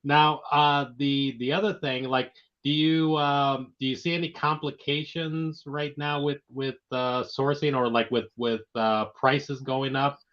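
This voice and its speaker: A man hosting a casual interview, speaking informally and haltingly, often repeating his words. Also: medium pitch, steady tone, a bit bright. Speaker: interviewer, show host. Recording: dull lo-fi online audio.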